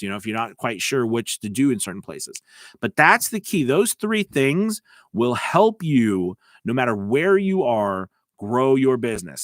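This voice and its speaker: American male live-stream host, mid-pitched, casual coaching tone, dry close sound. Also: dry recording. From United States. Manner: loose and talkative, many restarts and fillers, reads chat aloud.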